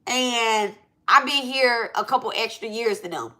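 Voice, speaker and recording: Bright-voiced blunt woman in her thirties. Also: a little high, unhurried. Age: 30s. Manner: animated and blunt, with slangy asides and repeated "right?" and "okay?". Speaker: spiritual reader and channel host. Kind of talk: unscripted spiritual talk to a live audience.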